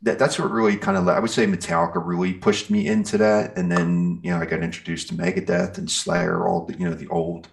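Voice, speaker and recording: A man's voice: low-pitched, little pitch movement, fairly quick, vocal fry, hardly any pauses. A middle-aged man chatting casually as an interviewed musician, rambling and enthusiastic in an informal way. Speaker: metal singer and guitarist being interviewed.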